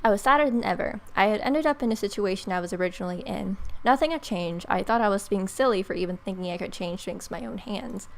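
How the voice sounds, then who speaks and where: A woman's voice: mid-range voice, quick pace, gravelly, hardly any pauses. A young woman reading visual novel narration aloud as a let's player. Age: young adult. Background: game music.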